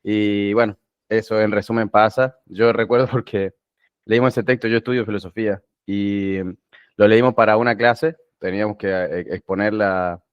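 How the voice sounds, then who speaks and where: A man who studies philosophy, talking haltingly in a casual book club video call. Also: on the low side, fairly even intonation, clean tone, dry recording, muffled recording. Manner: halting, with restarts. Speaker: philosophy student.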